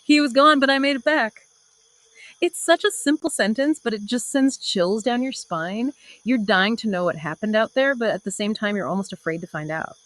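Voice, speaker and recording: A woman's voice: a little high, normal speed, a bit bright, no room sound, rough recording. A woman co-hosting a podcast in a conversational literary discussion, with dramatic storytelling emphasis. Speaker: podcast co-host.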